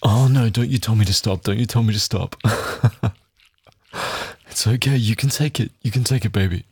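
Husky, low-voiced domineering man. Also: normal speed, a little mellow, dry recording. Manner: dominant and taunting, low intimate delivery; frequent heavy breaths and muttered exclamations. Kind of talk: scripted adult audio roleplay.

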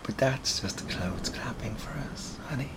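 A slightly hoarse man with an Irish accent, whispering softly with gentle chuckles in an ASMR boyfriend roleplay. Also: medium pitch, moderate pace, very noisy.

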